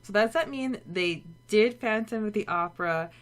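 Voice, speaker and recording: A woman's voice: medium pitch, moderate pace, faint music behind. A woman co-hosting a podcast, casually recapping and discussing a story, often acting out bits of imagined dialogue. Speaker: podcast co-host.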